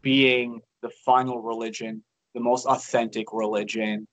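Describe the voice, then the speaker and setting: A man's voice: mid-range voice, little pitch movement, unhurried, a bit bright, rough recording. A man in his thirties from Canada, a guest talking frankly on a video call, with many restarts and fillers. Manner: frank and chatty, many restarts and "you know". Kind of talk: casual interview on a video call. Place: indoors by a large window.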